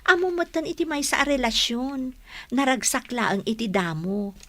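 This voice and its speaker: An actress with an Ilocano Filipino accent playing a frank young best friend who gives advice in a radio drama. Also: female voice, high-pitched, moderate pace, sharp tone, no echo. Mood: concerned, advising.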